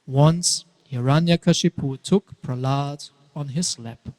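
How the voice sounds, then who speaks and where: Man, narrator, measured storytelling, scripted dramatic reading, fairly high voice, echoing hall. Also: darkish tone. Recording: very reverberant hall.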